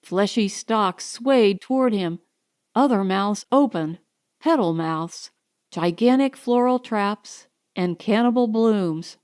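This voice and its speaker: A woman narrating a science fiction audiobook in a measured, deliberate, dramatic way. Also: medium pitch, darkish tone, smooth.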